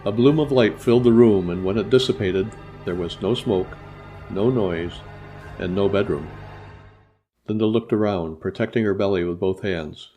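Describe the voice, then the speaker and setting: A man's voice: lowish voice, moderate pace, mellow, faint music behind. A man reading a short story aloud as scripted narration. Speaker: author reading their own short story.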